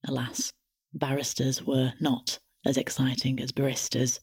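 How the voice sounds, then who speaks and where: A woman with a husky voice, narrating a scripted satirical story in a deadpan, matter-of-fact way. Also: very deep voice, unhurried, full character voice. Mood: wry, deadpan. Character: wry female storyteller narrating a satirical tale.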